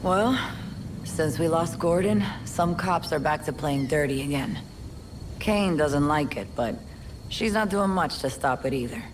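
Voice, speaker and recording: An actress playing a weary, loyal policewoman in a video game, with a gravelly voice and dry, streetwise, plain-spoken delivery. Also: sits low, lively intonation, unhurried, background noise. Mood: guarded, wry. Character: weary, world-worn female police officer, wry and loyal. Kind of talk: video game cutscene acting. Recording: music behind the voice. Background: music.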